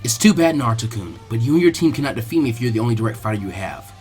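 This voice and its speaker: A soft, formal young ninja woman acted by a man, speaking in a subdued low tone. She is resolute and coldly devoted to her master, in a storybook reading with music behind. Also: animated, very fast, close to an everyday voice. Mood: calm menace.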